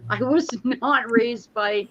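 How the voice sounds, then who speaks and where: A woman hosting a casual live-streamed watch party, chatty and quick to laugh. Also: fairly high pitch, a bit bright, highs cut off. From Canada. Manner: chatty, laughs often, repeats herself.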